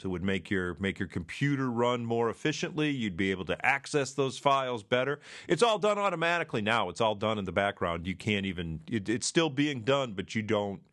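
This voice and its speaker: A man's voice: lowish voice, fairly bright tone, no echo, studio quality. A middle-aged man with a Michigan accent, co-hosting a casual chat show and explaining things in long, rambling stretches full of restarts. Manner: rambling, restarts often, explains with computer comparisons.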